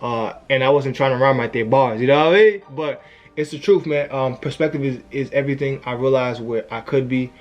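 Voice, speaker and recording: A man's voice: medium pitch, a little fast, lo-fi sound. A young man giving an earnest, casual motivational talk to camera as a YouTuber. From family from Haiti, raised in the US. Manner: earnest, conversational, frequent "you know what I'm saying".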